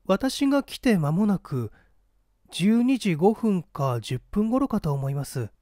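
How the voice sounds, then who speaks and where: A composed young lady, played by a male reader, answers as a witness in a mystery audiobook. The voice is raised high and lilting, with courteous, refined phrasing. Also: sing-song, takes it slowly, mellow, no room sound, clean studio sound, full character voice. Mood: polite, composed. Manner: courteous, refined feminine speech.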